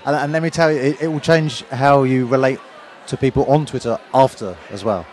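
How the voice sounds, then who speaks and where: A man's voice: mid-range voice, fairly even intonation, a little fast, darkish tone, a little hiss or hum. Polite man interviewing at a conference. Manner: polite, encouraging questions. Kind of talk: conference vox-pop interview. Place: busy conference venue.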